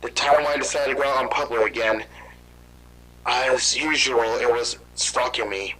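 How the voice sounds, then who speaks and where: A man's voice: mid-range voice, fairly even intonation, normal speed, sharp tone, poor audio. An actor playing a frightened man hunted by monsters, rambling anxiously and swearing in his panic. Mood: worried. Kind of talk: amateur horror audio drama.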